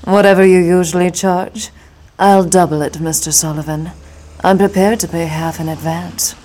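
Female actor, twenties femme fatale: rich, composed, sultry, dark mellow tone on the lower side, noir radio play. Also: steady tone, moderate pace, lightly acted. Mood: poised, cool. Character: cool, wealthy, petite noir femme fatale wife, mid-twenties. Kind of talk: noir audio drama dialogue. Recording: music under the voice.

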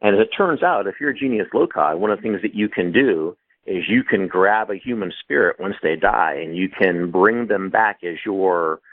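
Author on a call: low male voice, dry, tinny phone-line sound, explains patiently with fillers. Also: expressive, normal speed, no room sound. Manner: explanatory, thoughtful, many fillers. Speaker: author guest. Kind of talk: casual panel discussion.

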